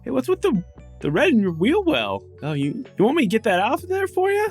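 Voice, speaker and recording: A man voicing an eager, scruffy car-wash hustler with halting, wheedling sales patter in a tabletop game. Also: very high voice, huge pitch swings, husky, faint music behind, pitched above the performer's own voice, heavily acted.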